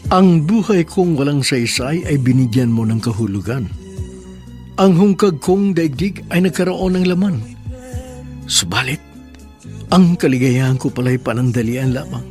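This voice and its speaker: A man's voice: on the high side, darkish tone. Earnest male radio drama narrator. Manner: earnest, polite and measured.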